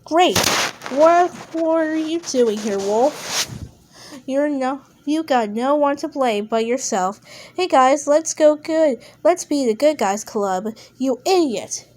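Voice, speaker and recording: An anxious, sarcastic male storybook wolf acted out by a woman. The voice is very high and exclaiming, firing off bewildered questions. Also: lively intonation, slow, darkish tone, slight background noise. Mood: exasperated. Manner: exclaiming, questioning, sound words blurted out.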